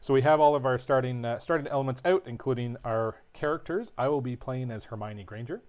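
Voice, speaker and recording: Man, medium pitch, relaxed, explanatory, casual stream co-host. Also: brisk. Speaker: co-host explaining game rules. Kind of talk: casual livestream rules teaching.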